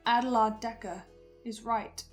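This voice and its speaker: High-voiced woman theorizing over music. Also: fairly even intonation, a little slow. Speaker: host presenting theories on a horror podcast. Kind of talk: earnest theorizing commentary.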